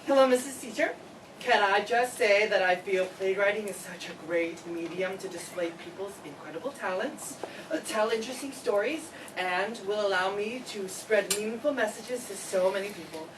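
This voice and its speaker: A woman's voice: medium pitch, normal speed, distant mic, natural voice. A woman playing an arrogant, flamboyant, brainy male student with an eager, self-important manner in a play reading. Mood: eager, showy. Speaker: actor in a staged play reading. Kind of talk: live theatre play reading. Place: read before a live audience, far camera. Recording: echoey, noisy room from afar.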